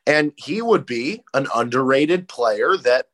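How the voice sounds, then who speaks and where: A man's voice: medium pitch, lively intonation, takes it slowly, a bit bright. A man co-hosting a sports podcast in casual chat, stuffed up and congested from a cold, with a dry, joking delivery. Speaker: podcast co-host.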